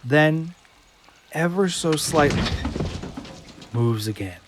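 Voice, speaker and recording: A man narrating an audio drama from a script in a deliberate, suspenseful manner. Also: fairly high pitch, lively intonation, noisy background.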